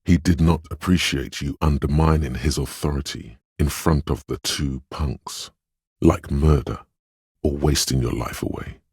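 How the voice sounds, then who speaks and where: An actor voicing a game's inner voice of empathy, a grave, compassionate narrator with a husky, gravelly voice and a measured, solemn, intimate delivery. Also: male voice, extremely low pitch, a little mellow, no room sound, studio quality, heavily acted. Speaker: game narrator. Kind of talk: scripted second-person game narration.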